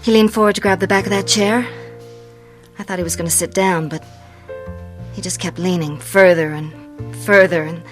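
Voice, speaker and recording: A young noir dame, played by an actress, cool and wisecracking in a detective radio drama. Her lowish voice is understated and sly, with light music behind. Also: fairly even intonation, normal speed, close to an everyday voice. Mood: shaken. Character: cool, wisecracking young woman, shaken femme fatale. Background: faint music.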